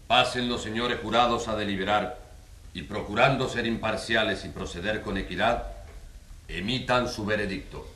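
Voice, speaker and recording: A man's voice: fairly low pitch, fairly even intonation, normal speed, background noise, lightly acted. An actor playing a solemn, authoritative male judge in a radio drama, speaking formally and declamatorily. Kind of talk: radio drama courtroom speech.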